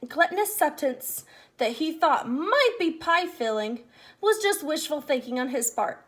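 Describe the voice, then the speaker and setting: A woman reading a picture book aloud for children as a storyteller, expressively, talking directly to her young listeners. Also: high voice. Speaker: read-aloud host, storyteller.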